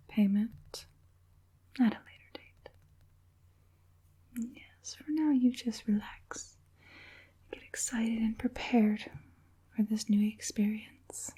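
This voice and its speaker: A woman playing a politely businesslike, secretive witch puppet master in a soft, breathy whispered ASMR roleplay. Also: a little high, heavily acted. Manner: soft, unhurried, politely professional, like a fitter.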